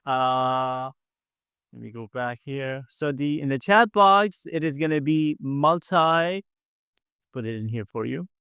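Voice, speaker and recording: A man's voice: mid-range voice, animated, slow pace, a bit bright, smooth, dry recording, clean studio sound. A man casually hosting a quiz game on a video call, encouraging and often repeating himself. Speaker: quiz show host.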